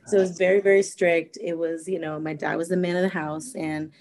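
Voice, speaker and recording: A woman's voice: lowish voice, flat delivery, a little fast. A woman in her thirties from Costa Rica, raised in California, telling her life story with a laugh in her voice. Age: 30s. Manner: bubbly, laughs often, warm and open. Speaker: guest sharing testimony. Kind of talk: casual interview, personal testimony.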